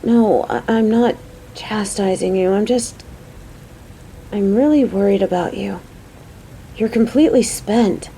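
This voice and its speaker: Mellow, caring woman coworker played by a voice actress. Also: mid-range voice, a little slow, pauses often, slight background noise, lightly acted, rough recording. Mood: worried. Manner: motherly, teasing, chatty. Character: caring, playful young woman coworker on a date. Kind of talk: audio roleplay acting addressed to the listener.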